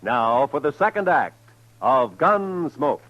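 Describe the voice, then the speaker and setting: A man delivers a 1950s radio announcement in a crisp, emphatic style. His pitch is lively and animated, and the old broadcast sounds muffled. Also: slow pace, over loud music.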